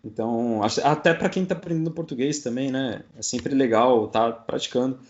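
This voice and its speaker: A man's voice: medium pitch, normal speed, muffled recording. A man with a Brazilian accent talking casually as an interview guest. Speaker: guest, podcaster. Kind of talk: casual chat.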